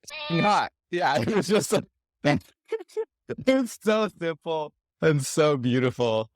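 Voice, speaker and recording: A man chatting casually about tech as a podcast co-host, thoughtful and halting in his phrasing. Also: on the high side, animated, no echo, clean studio sound.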